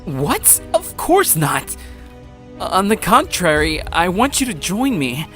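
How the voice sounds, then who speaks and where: A man's voice: sits high, very animated, takes it slowly, fairly bright tone, soft background music, heavily acted. A man playing an earnest, nervous teenage schoolboy in an anime-style audio drama, hesitant and pleading in manner.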